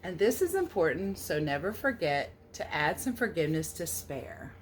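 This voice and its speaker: Woman, slightly low voice, lively swooping intonation, teacher's read-aloud style, casual asides to young listeners, faint background noise. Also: normal speed, low-quality recording. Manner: animated, questioning, sing-song verse delivery. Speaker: teacher reading a picture book to children.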